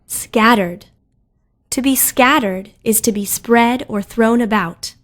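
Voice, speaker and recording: A woman's voice: fairly high pitch, slow, fairly bright tone. A woman reading words, definitions and example sentences from a script as a lesson narrator.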